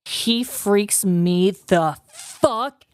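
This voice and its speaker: A woman's voice: medium pitch, drawn-out words, clear, resonant tone, no echo, studio quality. A woman co-hosting a podcast in casual, playful banter, speaking emphatically and swearing freely. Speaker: podcast co-host.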